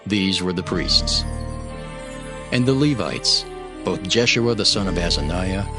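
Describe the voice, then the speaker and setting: A man with a deep voice narrates scripture slowly and formally. Each phrase is deliberate, and music plays clearly beneath him. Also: expressive. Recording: studio narration under prominent music.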